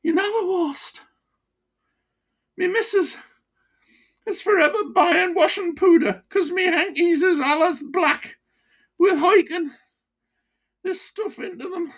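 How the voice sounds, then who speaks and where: A woman's voice: very high voice, takes it slowly, long pauses, pitched above the performer's own voice, exaggerated voice. A woman voicing a breathless old male ex-miner from Sunderland with a broad local accent and blunt gallows humour. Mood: gruff teasing. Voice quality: breathless, broad dialect, cackling. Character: old wheezing Sunderland ex-miner man, grimly jokey.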